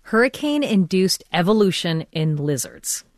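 A woman with a fairly low voice walks through science stories. She keeps an upbeat, casual tone with an occasional "like." The sound is close and dry. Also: big pitch swings, measured pace, no echo. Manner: upbeat, explanatory, uses "like". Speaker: science podcast co-host. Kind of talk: explaining science news. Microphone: studio mic.

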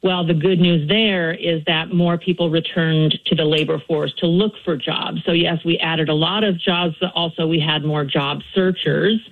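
A woman economist being interviewed live, explaining job numbers calmly. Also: low-pitched, moderate pace, hardly any pauses. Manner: calm, explanatory. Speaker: economist guest. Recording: phone-line audio.

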